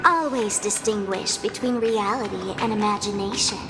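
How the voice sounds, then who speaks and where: A woman's voice: mid-range voice, normal speed, lightly acted, poor audio. A woman voicing a whimsical, riddling young woman in a dramatic video game. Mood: wistful. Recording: loud music behind the voice.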